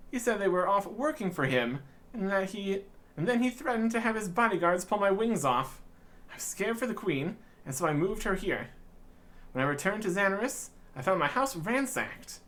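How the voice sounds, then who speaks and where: A man's voice: high-pitched, lively intonation, moderate pace, fairly bright tone. A man voicing an anxious, earnest fairy while reading video game dialogue aloud. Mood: worried, earnest.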